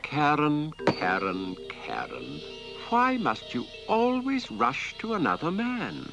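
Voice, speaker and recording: An actor playing a dead husband, slow and accusing as he menaces his widow in a horror film. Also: fairly high pitch, wildly swooping pitch, gravelly, highs cut off, music in the background, heavily acted. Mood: menacing, reproachful.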